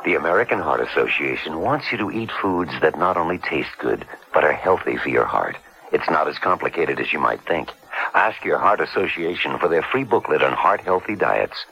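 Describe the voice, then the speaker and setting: A man's voice: a bass voice, animated, moderate pace, gravelly, bright, forceful tone. A man reading a scripted public service announcement on a slightly dull old radio recording.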